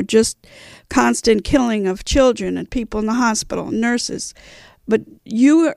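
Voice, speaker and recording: A woman's voice: mid-range voice, creaky, darkish tone, no echo, clean studio sound. A woman hosting a radio interview, putting questions in a conversational, unscripted way.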